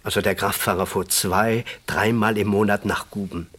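An actor playing a plain-spoken man recounting a story to police in a German radio crime drama, husky and explanatory. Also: on the low side, steady tone, normal speed, fairly bright tone. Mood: explaining. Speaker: witness retelling a story.

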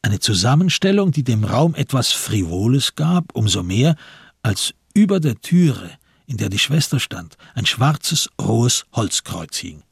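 A man with a gravelly, husky voice giving literary narration in a radio crime drama. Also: mid-range voice, big pitch swings, a little slow, dry recording, studio quality.

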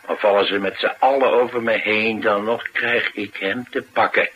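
A deep-voiced, streetwise tough guy. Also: steady tone, moderate pace, husky, lo-fi sound. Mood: coolly menacing. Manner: colloquial, matter-of-fact tough talk. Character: tough, streetwise male bodyguard, cynical and coolly menacing. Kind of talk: naturalistic radio drama dialogue.

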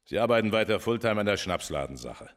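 A commanding police chief in a radio crime play. The voice is low, and he talks in curt, authoritative phrases with a stern edge. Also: big pitch swings, quick pace. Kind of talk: German radio crime drama acting.

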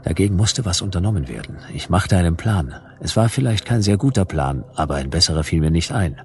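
An actor playing a laconic hard-boiled detective, narrating his case in a dry, deadpan way for a German radio play. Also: low voice, a little fast. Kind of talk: noir first-person radio play narration. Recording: music bed under the voice. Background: music.

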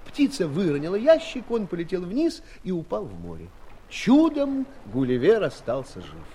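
An actor playing a wry storyteller, narrating a fairy tale with theatrical, expressive delivery and dramatic pauses. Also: male voice, high-pitched, slight background noise. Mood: storytelling. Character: wry male storyteller addressing young friends. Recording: 1970s studio audio play, music behind.

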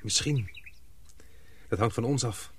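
An actor playing a calm, devoted young man in a radio play, speaking in a reflective, restrained way. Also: medium pitch, slow pace, breathy edge, no room sound. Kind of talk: radio drama acting.

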